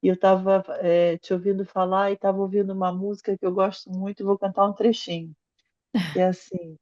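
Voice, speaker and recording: A middle-aged woman from Brazil with a Brazilian Portuguese accent, a therapist talking as a guest in a casual video call interview, reflective, in short phrases with pauses. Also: mid-range voice, flat delivery, a little mellow, dry recording, dull audio. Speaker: guest, family constellation therapist.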